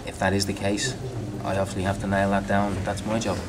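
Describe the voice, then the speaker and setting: A man's voice: lowish voice, flat delivery, a little fast, far from the mic, background noise. A man speaking as a football manager in a post-match press interview, curt and blunt. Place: room with reporters, table. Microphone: reporters' phones held out.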